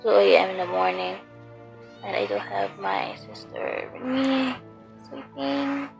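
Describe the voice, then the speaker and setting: A woman talks quietly over her gameplay, keeping her voice low so as not to wake anyone at home. She speaks very slowly with a heavily gravelly, creaky tone and many hesitant fillers. Faint game music runs underneath. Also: expressive. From Philippines. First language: Tagalog. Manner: soft, lowered voice, slow, many fillers. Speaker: game streamer. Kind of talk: casual commentary over gameplay. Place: home room late at night. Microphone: headphone mic.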